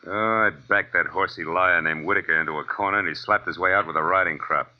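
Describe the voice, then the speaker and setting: An actor playing a tough, wry private detective in a 1940s American radio crime drama, with a clipped delivery. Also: male voice, sits low, steady tone, fast, natural voice. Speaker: lead actor and first-person narrator. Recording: muffled, hissy old radio recording.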